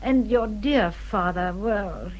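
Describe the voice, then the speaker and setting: An English actress playing a worldly, judgmental older gentlewoman in a period radio drama, confiding with stately emphasis. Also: medium pitch, slow, muffled recording, lightly acted. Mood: disapproving.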